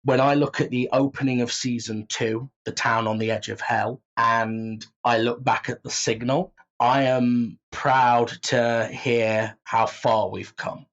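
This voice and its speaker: A man's voice: medium pitch, steady tone, unhurried, hardly any pauses, fairly bright tone. A man in a casual interview, self-deprecating and prone to acting out his own thoughts. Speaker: audio drama writer and creator, interview guest. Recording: slightly dull, muffled sound.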